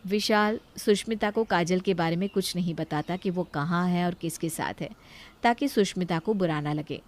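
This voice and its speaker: A woman's voice: on the low side, moderate pace. A woman storyteller reading scripted narration for a moral story.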